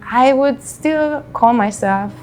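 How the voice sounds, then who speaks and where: A woman's voice: sits high, slow, a little mellow. A woman in her thirties with a Hungarian accent, speaking reflectively and unhurriedly in a personal interview. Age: 30s. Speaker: interviewee in a portrait documentary. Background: background music.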